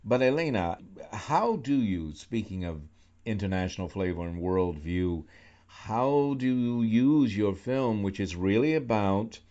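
Brief: rambling talk radio host, man, a bit low, muffled lo-fi sound. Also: animated, unhurried, mellow. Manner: rambling, thoughtful, many restarts. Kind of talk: radio interview, conversational questions. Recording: slightly dull lo-fi radio sound.

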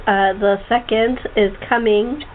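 Over a thin, phone-like connection with faint noise, a woman with a fairly high voice hosts a casual chat show. She speaks haltingly, often stopping and repeating her words as she goes. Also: fairly even intonation. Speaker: host of a talk show. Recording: muffled tinny phone line with faint noise.

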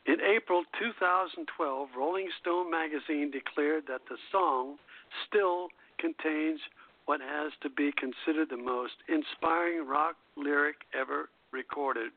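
A man with a fairly high voice reads a scripted music history as a radio host. His delivery is halting, with stumbles and self-corrections. The sound is thin and narrow, like an internet radio show taken over a phone line. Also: no echo.

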